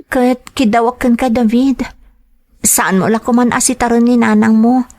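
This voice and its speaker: A woman's voice: fairly high pitch, flat delivery, moderate pace, darkish tone, lightly acted. A woman acting a timid, anxious young girlfriend in a radio drama, pleading with an Ilocano Filipino accent. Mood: pleading, worried. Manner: pleading, apologetic.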